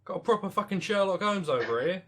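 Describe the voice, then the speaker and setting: A man's voice: high-pitched, animated, fast talker, fairly bright tone, slight background noise. A sarcastic, swearing man making cracks while watching a movie with friends over a voice call. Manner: sarcastic, profane. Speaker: co-host watching a film. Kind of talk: casual group commentary over a movie.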